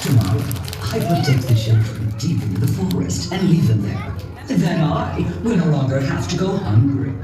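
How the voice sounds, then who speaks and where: A man's voice: a little high, very animated, moderate pace, a crowd in the background. Man voicing a scheming stepmother, swooping and echoey. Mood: cold, scheming. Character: scheming, cold stepmother, woodcutter's wife in fairytale. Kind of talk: storybook character voice. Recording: echoey, distant playback with music behind.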